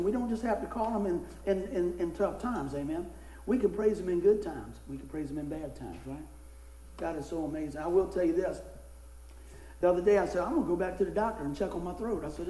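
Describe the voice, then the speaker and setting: A middle-aged man, a pastor, preaching a casual sermon with a hoarse voice that he is losing, folksy and animated in his stories. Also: echoey room, rough recording. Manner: folksy, animated storytelling with rising pitch in anecdotes. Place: church.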